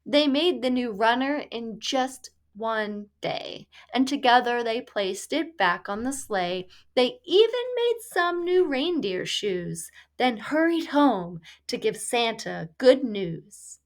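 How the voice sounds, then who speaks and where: Female storybook reader, higher-pitched, unhurried and deliberate, reading rhyming verse for children. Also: big pitch swings.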